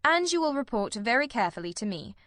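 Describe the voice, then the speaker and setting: A woman voicing a self-assured, authoritative male gentleman detective in an audiobook story. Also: fairly high pitch, sing-song, fast talker, no room sound, studio quality.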